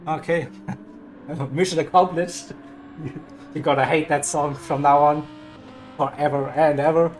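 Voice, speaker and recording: A man commentating a live sim race, hoarse and cracking, upbeat and laughing a lot. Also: on the high side, steady tone, a little slow, long pauses, a little hiss or hum. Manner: upbeat, laughs often, self-mocking.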